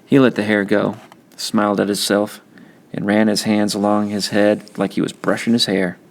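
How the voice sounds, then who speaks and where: Gravelly low-pitched man reading aloud. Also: monotone, moderate pace. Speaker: audiobook reader. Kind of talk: scripted narration of a children's novel.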